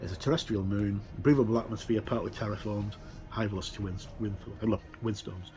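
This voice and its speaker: A middle-aged or older man giving a casual, unscripted look through a book as a tabletop game reviewer, in a rambling, hesitant way with many pauses. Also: lowish voice, steady tone, warm tone, rough recording. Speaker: reviewer and presenter of tabletop games. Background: ambient music with wind sounds.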